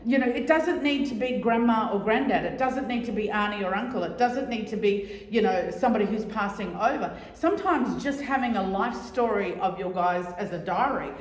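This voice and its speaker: A middle-aged woman giving a loud, chatty, joking talk to a society audience, repeating her key points. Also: medium pitch, normal speed, few pauses. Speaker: guest speaker on oral history. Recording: echoey hall.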